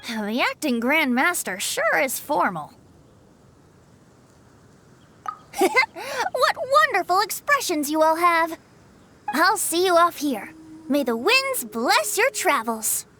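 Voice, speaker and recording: A woman's voice: very high-pitched, big pitch swings, slow pace, sharp tone, slight background noise, exaggerated voice. A woman voicing a playful, breezy young male bard with a lilting, theatrical delivery in a video game. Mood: cheerful. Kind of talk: video game cutscene acting.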